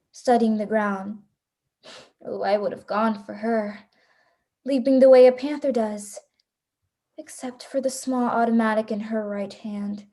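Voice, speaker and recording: Woman playing a mellow, high-voiced hunted heroine. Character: frightened, resolute young woman, hunted shipwreck survivor. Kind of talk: first-person radio play narration.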